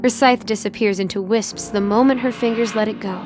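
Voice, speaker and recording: Woman narrating drama over soft music. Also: on the high side, steady tone, fairly quick. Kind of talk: scripted dramatic story narration.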